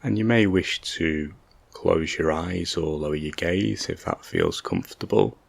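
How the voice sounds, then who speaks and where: A man with a deep, gravelly voice leads a guided meditation. He speaks gently and calmly, leaving long, measured pauses between phrases. The sound is close and dry. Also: dry recording. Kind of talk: guided meditation, soft slow instruction.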